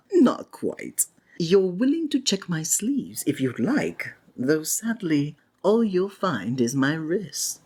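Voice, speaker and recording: Woman playing a breathy, flamboyant, sinister male magician. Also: low voice, very animated, takes it slowly, a little mellow, heavily acted. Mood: showy, silky. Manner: flowery, theatrical, honeyed, frequent drops into whisper. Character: flamboyant, sinister male stage magician, courteous, coaxing, possessive. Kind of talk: ASMR roleplay, theatrical monologue.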